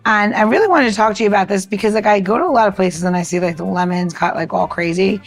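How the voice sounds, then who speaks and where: A woman's voice: mid-range voice, quick pace, soft background music. A woman podcast co-host chatting casually and explaining things conversationally, often checking in with the listener. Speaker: podcast co-host giving a cocktail tip. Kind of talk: casual chat and demonstration.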